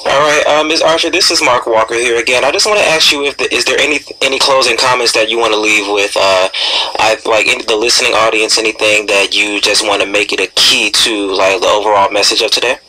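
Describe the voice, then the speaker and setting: A young American man co-hosting a casual radio interview, talking haltingly with many filler phrases. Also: on the low side, fairly even intonation, pushed and bright, rough recording. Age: young adult. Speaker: radio show co-host.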